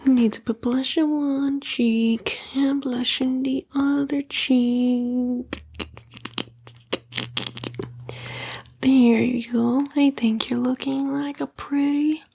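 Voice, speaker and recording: A demon temptress played by a woman whispers in an ASMR roleplay, very breathy and high. She is a fallen angel who coaxes and condescends at an unhurried pace, vain and sly. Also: steady tone, dark tone, heavily acted. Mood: coaxing, soothing.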